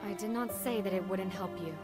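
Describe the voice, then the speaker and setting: A game player voicing a solemn, cryptic demon of sin, speaking softly in a measured, formal and ominous way. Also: mid-range voice, voice pushed up, lightly acted. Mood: solemn warning. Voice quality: soft. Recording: loud music over the voice. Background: loud new-age game music.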